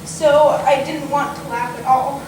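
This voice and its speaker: A woman's voice: high voice, level tone, unhurried. A young woman reading a prepared, heartfelt eulogy to a gathering, earnest with touches of dry humour. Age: young adult. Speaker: niece giving a memorial tribute. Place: indoor memorial gathering at an acting studio. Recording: very echoey, distant, noisy room recording.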